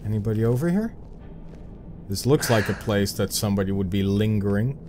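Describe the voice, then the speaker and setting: A man's voice: lowish voice, a little fast, noisy background. A young Dutch man with a Dutch accent, chatting casually to himself as he narrates his own gameplay, muttering and joking at his own expense. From Netherlands. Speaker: gameplay commentator. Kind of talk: casual let's play commentary.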